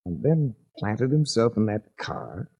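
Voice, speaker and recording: An actor playing a cool, wisecracking insurance investigator with a dry, hardboiled delivery in 1940s radio drama. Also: male voice, medium pitch, animated, measured pace, vocal fry, dark tone, breathy edge, exaggerated voice. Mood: smooth, probing. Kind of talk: 1940s radio detective acting and narration. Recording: muffled old 1940s radio recording.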